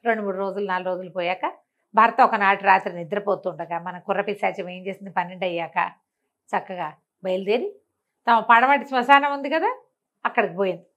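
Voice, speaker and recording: A woman with a Telugu accent telling a folk story in a colloquial, animated way, acting out the dialogue. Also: mid-range voice, brisk.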